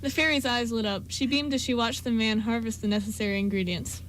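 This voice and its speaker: Woman, story narrator, brisk pace, scripted amateur radio drama, faint background noise. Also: a little high, fairly even intonation, low-quality recording.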